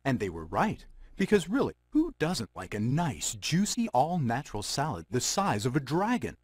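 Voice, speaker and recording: An actor playing a wisecracking fairy-tale storyteller in a video game, chatty and full of sardonic asides. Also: male voice, mid-range voice, wildly swooping pitch, normal speed, darkish tone, husky, no room sound, studio quality. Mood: amused. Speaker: storybook narrator. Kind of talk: comic storybook narration.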